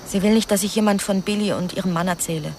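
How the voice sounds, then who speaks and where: A woman's voice: medium pitch, fairly even intonation, fast, a little mellow, slight background noise, lightly acted. An actress playing a sullen, frightened teenage girl in a radio drama, grumbling and evasive. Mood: evasive, uneasy. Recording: faint outdoor ambience with insects.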